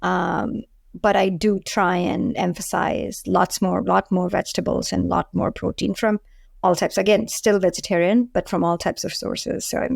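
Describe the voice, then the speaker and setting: A woman's voice: on the low side, level tone, moderate pace, creaky, no echo, studio quality. A woman in her forties from India, with an Indian accent, talking casually as an interview guest. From Delhi, India. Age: 40s. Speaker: podcast guest, food entrepreneur.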